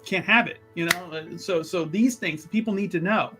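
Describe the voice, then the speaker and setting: Man playing a self-important, high-voiced scheming boss. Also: over music, natural voice. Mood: indignant, scheming. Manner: halting, emphatic, self-important, repeats phrases. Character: ambitious, vindictive male boss of a shady underground organization. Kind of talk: improvised tabletop roleplay.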